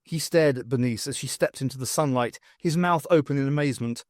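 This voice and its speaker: A man's voice: mid-range voice, brisk, darkish tone, no room sound, clean studio sound. A man narrating a fiction audiobook in a scripted storytelling style.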